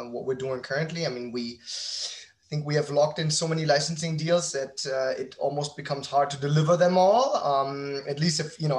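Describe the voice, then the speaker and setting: Man, medium pitch, casual interview guest, halting speech with repeated words, self-deprecating. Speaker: podcast guest, company founder.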